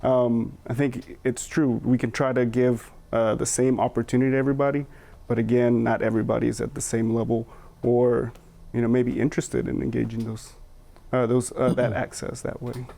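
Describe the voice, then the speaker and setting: A man speaking as a panelist, thoughtful and hesitant, pausing often to find his words. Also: medium pitch, little pitch movement, gravelly, dark tone. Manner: thoughtful, hesitant, with many fillers and restarts. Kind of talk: reflective panel discussion.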